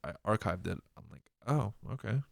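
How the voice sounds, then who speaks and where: A young man talks in a loose, rambling way, with a filler "like" in nearly every phrase and frequent swearing. His nose is stuffed up, which thickens his voice. He sounds relaxed, as if he is chatting with a friend. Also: lowish voice, brisk, gravelly, dry recording, clean studio sound. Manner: rambling, heavy use of "like", swearing. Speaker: podcast guest, rapper. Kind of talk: casual chat.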